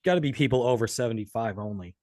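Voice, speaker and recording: American male interviewer, mid-pitched, dry sound. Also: fast, a little mellow, no room sound. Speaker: podcast interviewer. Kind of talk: casual, profane interview chat.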